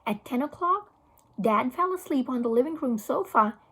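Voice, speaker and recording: A woman with a husky voice reading a children's novel aloud as a teacher would for a class. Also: high-pitched, expressive, moderate pace, fairly bright tone.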